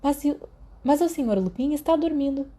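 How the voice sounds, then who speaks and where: A Brazilian woman voicing a caring, gently scolding school nurse in a storybook reading. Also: sits high, lively intonation, moderate pace, pitched above the performer's own voice. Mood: softened.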